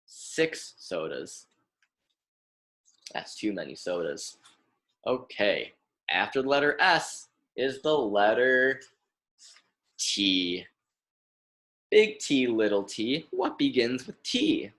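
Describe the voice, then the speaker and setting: A man's voice: mid-range voice, a bit bright. A young American man reading a picture book aloud as a lesson for children, repeating and emphasising words in an instructive way. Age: young adult.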